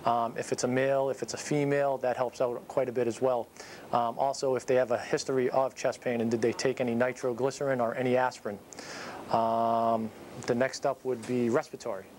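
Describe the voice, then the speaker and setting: A man in his thirties giving an informal training talk as an instructor, explaining things in a halting, matter-of-fact way. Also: medium pitch, flat delivery, darkish tone, a little hiss or hum. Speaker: paramedic instructor. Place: small dispatch office, indoors. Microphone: clip-on lapel mic.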